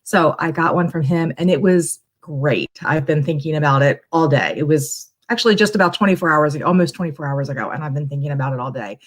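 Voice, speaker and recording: A woman's voice: deep voice, fast, few pauses. A middle-aged woman chatting casually as a guest on a live stream, talking in a reflective, long-winded way. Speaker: guest tarot reader bringing a question.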